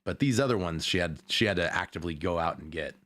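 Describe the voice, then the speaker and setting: A man giving casual, off-the-cuff commentary as a streamer, rambling and halting as he repeats himself. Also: sits low, lively intonation, fairly bright tone, no room sound. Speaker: streamer reacting to a video.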